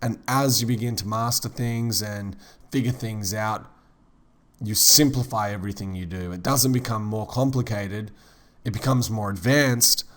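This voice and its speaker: A slightly hoarse man with a fairly low voice talks through a software tutorial. He sounds relaxed and chatty, wandering through his points with plenty of filler words. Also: moderate pace. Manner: relaxed, rambling, many fillers like "kind of". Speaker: 3D modeling instructor. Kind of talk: casual tutorial narration.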